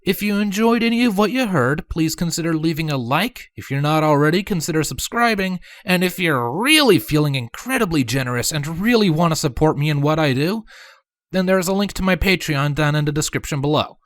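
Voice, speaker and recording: A man's voice: a little high, expressive, fairly quick, fairly bright tone, no echo, studio quality. A man hosting a fan fiction channel, addressing listeners in an outro.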